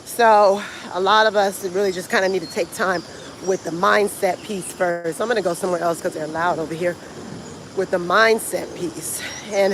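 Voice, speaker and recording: A woman's voice: lowish voice, a little hiss or hum. An American woman talking in a casual, opinionated and colloquial way, like a vlogger speaking to her followers. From the United States. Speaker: vlogger and travel coach. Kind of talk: casual vlog monologue to camera.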